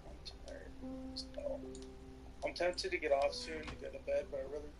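A man's voice: a little high, steady tone, moderate pace, music in the background, low-quality recording. A man talking casually as a player during an online game. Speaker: player in a Minecraft roleplay server.

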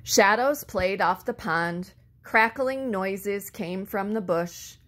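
A woman reading a children's story aloud expressively, with deliberate phrasing and words drawn out for effect. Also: medium pitch, fairly bright tone. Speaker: storybook reader.

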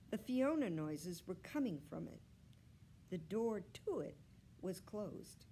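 A woman voicing an earnest, fretful pet dog who tells his own story, in expressive, confiding storybook narration. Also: on the low side, vocal fry, slight background noise, exaggerated voice. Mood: quietly smug.

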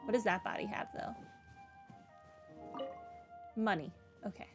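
A woman's voice: mid-range voice, measured pace. A woman giving casual playthrough commentary as a game streamer, sometimes reading dialogue aloud. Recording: game music behind the voice. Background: video game music.

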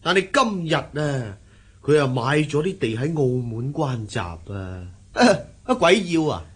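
A cocky young nephew in a Cantonese radio play, quick to flatter. His voice is rough-edged and very lively in pitch, with a Hong Kong accent. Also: full character voice. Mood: scornful. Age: young adult. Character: brash, flattering young nephew seeking a bride. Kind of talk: radio drama acting.

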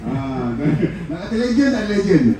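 A rocker trades laughing, self-mocking banter from an outdoor stage, his fairly high voice carried through a PA. The sound booms with heavy echo and is picked up from a distance. A crowd murmurs throughout. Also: big pitch swings, brisk. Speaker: rock band member. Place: outdoor mall courtyard stage. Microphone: stage microphone through PA. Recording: echoey live PA heard from afar.

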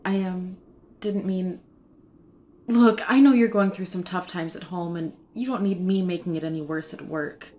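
A woman's voice: lowish voice, steady tone, moderate pace, a little mellow, natural voice. A woman playing an assertive but slightly awkward prosecutor in a comic book fan dub, frank and conversational. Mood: apologetic, awkward.

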